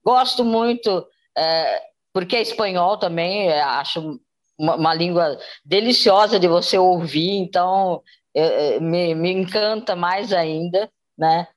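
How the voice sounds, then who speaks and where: A woman's voice: on the low side, measured pace. A woman with a Brazilian accent giving her opinion in casual chat, as a cast member on a show. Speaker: actress on the show.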